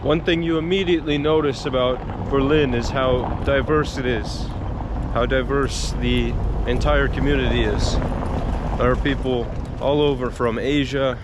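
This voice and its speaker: A man's voice: medium pitch, fairly even intonation, moderate pace, very noisy. A man narrating a casual travel vlog, with faint outdoor city sound behind him. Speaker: travel vlogger.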